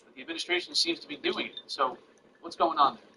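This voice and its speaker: A man working as a news anchor, introducing a guest and asking questions in a brisk, conversational way. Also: sits high, huge pitch swings, gravelly, bright, forceful tone, rough recording. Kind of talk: broadcast news interview. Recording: broadcast clip with noticeable background noise.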